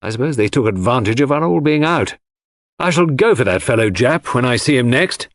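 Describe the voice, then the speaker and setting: A man voicing a good-natured, blustering country gentleman with indignant, old-fashioned phrasing in an audiobook. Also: medium pitch, lively intonation, fairly quick, no echo, studio quality, pitched above the performer's own voice, close to an everyday voice.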